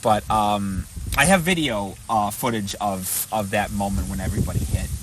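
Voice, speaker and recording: A man's voice: on the low side, moderate pace, background noise. A man telling a story casually to camera in an unscripted, rambling way, griping and swearing as he goes. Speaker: vlogger recounting a protest he attended.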